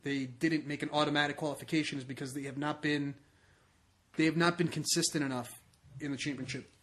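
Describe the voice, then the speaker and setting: Male podcast co-host, slightly high-pitched, conversational soccer chat, circles back over his own phrases. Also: steady tone, a little fast, a bit bright. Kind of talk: casual soccer discussion.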